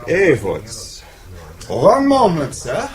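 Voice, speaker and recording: An actor playing a courteous, old-world hotel receptionist in a radio drama, formal, deferential and unhurried. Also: male voice, on the high side, huge pitch swings, crowd noise, full character voice. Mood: polite.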